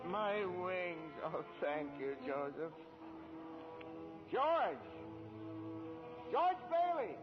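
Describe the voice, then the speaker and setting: High, slow, eager apprentice angel. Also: male voice, very animated, full character voice. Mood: grateful. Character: eager, naive, excitable male apprentice angel. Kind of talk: 1940s radio drama acting. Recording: muffled old radio, music behind.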